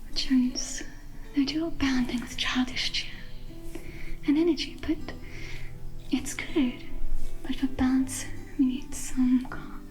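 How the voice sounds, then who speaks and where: A woman playing a gentle, whimsical elf mage in a fantasy ASMR roleplay, speaking in a soothing, softly theatrical whisper. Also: sits high, fairly even intonation, takes it slowly, pauses often, rough recording. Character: gentle whimsical female elf mage hosting in magic tent. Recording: close whispered voice over music. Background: music.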